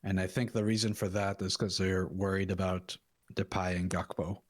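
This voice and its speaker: Lispy man, easygoing and low. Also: level tone, normal speed. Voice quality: slight lisp. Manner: easygoing, laughs often, self-deprecating. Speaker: co-host of a football watchalong. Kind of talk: casual chat reacting to a live match.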